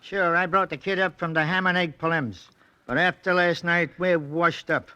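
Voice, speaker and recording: A hard-boiled American fight manager in a vintage radio mystery. He is wary and slangy, with a sharp, raised voice. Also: a little high, steady tone, moderate pace, smooth. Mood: blunt, suspicious. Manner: blunt, guarded. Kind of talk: old time radio drama acting.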